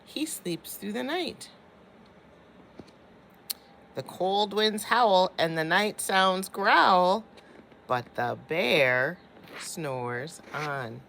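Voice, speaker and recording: Animated woman reading a picture book aloud. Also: lowish voice, takes it slowly, creaky, long pauses, slight background noise. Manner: expressive, drawing out refrains, addressing children. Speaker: teacher reading aloud to her class.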